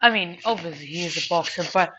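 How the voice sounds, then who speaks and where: A woman giving an informal spoken review in a halting, emphatic way, repeating phrases as she goes. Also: fairly low pitch, muffled recording, crowd noise. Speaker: anime reviewer.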